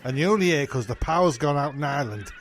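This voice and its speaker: A man's voice: fairly high pitch, expressive, quick pace, slight background noise, natural voice. A man playing a vain, touchy, sweary castaway in a comic audio drama, trading sarcastic insults. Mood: scornful.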